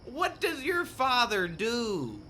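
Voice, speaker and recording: A woman's voice: a little high, very animated, slow, pushed and bright, slight background noise, heavily acted. A woman acting a judgmental, sententious woman in a radio play, pompous and declamatory. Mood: haughty.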